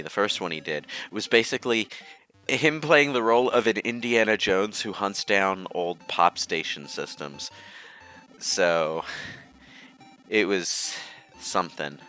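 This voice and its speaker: A man's voice: sits low, pushed and bright, smooth, low-quality recording. A man casually commentating on a game with friends. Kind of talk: casual group banter commentary.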